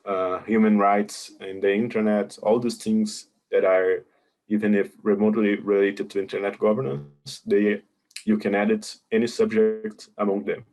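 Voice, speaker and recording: A man's voice: on the low side, level tone, normal speed, darkish tone. A man presenting a webinar, patiently explaining contest rules and answering questions over a video call. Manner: patient, explanatory, hesitant with many fillers.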